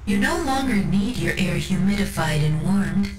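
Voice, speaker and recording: A woman's voice: mid-range voice, lively intonation, natural voice. A woman voicing a solemn, devout cyborg tech priest surgeon in a formal, reassuring ASMR roleplay. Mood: calm, reverent. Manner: slow, formal, ceremonial, reassuring. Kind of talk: ASMR roleplay, scripted monologue. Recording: roomy echo, distant, music behind.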